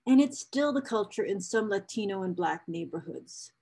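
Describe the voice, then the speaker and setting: A woman's voice: mid-range voice, animated, normal speed, vocal fry, darkish tone, husky. A woman reading a book excerpt aloud as a presenter, with casual asides to viewers, speaking enthusiastically and repeating phrases for emphasis. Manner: enthusiastic, emotionally invested.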